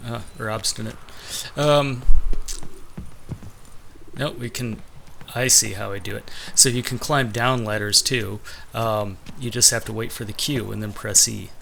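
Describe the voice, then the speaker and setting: A man's voice: fairly low pitch, steady tone, measured pace, creaky, bright, forceful tone, a little hiss or hum. A man giving casual, sardonic gameplay commentary with a complaining streak. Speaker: let's play gamer.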